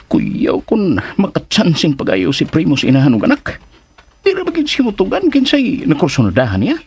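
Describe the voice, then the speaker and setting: A man's voice: fairly high pitch, wildly swooping pitch, a little fast, a little mellow, slightly rough, exaggerated voice. A man playing a meddlesome, boastful old man in a Filipino radio drama, stammering, hesitant and given to exclamations. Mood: scheming. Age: older.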